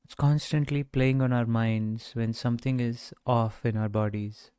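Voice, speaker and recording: A man guiding a meditation in a gentle, measured way, speaking off the cuff with long pauses. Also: mid-range voice, fairly even intonation, smooth, no echo, studio quality. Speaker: meditation guide. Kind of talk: guided meditation, unscripted talk.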